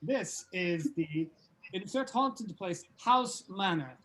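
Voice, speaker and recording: A woman's voice: fairly low pitch, animated, takes it slowly, fairly bright tone, low-quality recording. A woman playing a breezy, self-assured bandleader in an amateur script read-through. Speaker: table read participant.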